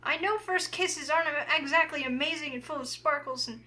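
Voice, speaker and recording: A woman acting a bright, high-voiced feisty young heroine. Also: a little fast. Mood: indignant. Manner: expressive, indignant when provoked. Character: feisty, flustered young woman narrating her own romance. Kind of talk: visual novel voice acting.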